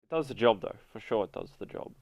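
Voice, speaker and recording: A congested man with a runny nose, chatting through a casual game guide voice-over and filling pauses with ums and uhs. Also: fairly low pitch, animated, gravelly, no room sound, dull audio. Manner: chatty, many fillers. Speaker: gaming video host.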